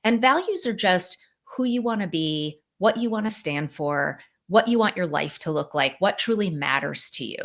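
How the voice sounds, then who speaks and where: A middle-aged woman explains psychology on a podcast in a lively, conversational way. Her voice sits somewhat low for a woman, and she peppers her talk with casual fillers. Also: moderate pace, hardly any pauses. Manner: animated, conversational, many "like" and "you know" fillers. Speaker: psychologist and author, guest.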